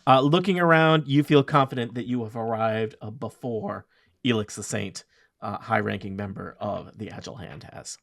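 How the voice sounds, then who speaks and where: A man's voice: fairly low pitch, normal speed, creaky, fairly bright tone, dry recording. A man narrating as game master of a tabletop game, rambling with many ums and self-corrections.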